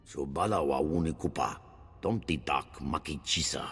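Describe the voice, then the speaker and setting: A man's voice: rumbling low, moderate pace, breathy edge, exaggerated voice. A man acting a ponderous, menacing alien who speaks an invented tongue in a video game. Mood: ominous. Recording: long reverb, music underneath.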